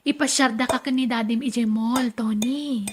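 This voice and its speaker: An actress playing a dutiful, self-sacrificing young aunt in an Ilocano radio drama, with an Ilocano accent. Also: female voice, on the high side, fairly even intonation, moderate pace, a little hiss or hum, natural voice. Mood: patient.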